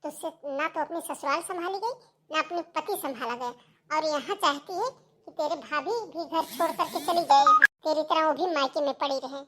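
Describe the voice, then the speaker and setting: A woman's voice: high-pitched, normal speed, fairly bright tone. A woman playing a scheming, scornful older mother who scolds and taunts in a Hindi cartoon moral story. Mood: scornful scolding.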